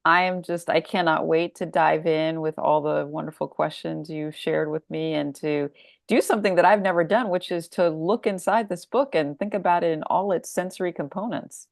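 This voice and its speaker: A woman author answering interview questions conversationally, thoughtful and expressive, leaning on key words. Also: low voice, moderate pace, vocal fry, no room sound, studio quality. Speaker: author, podcast guest.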